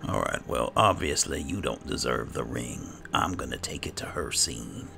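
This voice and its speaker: Casting: male gamer, extremely deep and heavily creaky voice, swooping intonation, sardonic in-character roleplay commentary over a video game. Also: animated, moderate pace, bright, forceful tone, faint music behind.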